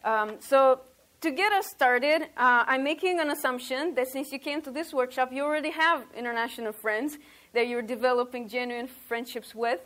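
A woman's voice: sits high, moderate pace, a bit bright. A woman in her thirties from Romania, leading a church workshop in an outgoing, chatty way with many ums. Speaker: workshop leader. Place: workshop room, indoors.

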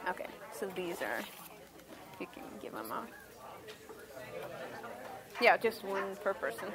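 A woman's voice: mid-range voice, big pitch swings, takes it slowly, over a noisy crowd. A woman in her thirties from Romania, leading a church workshop in an outgoing, chatty way with many ums. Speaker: workshop leader. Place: workshop room, indoors.

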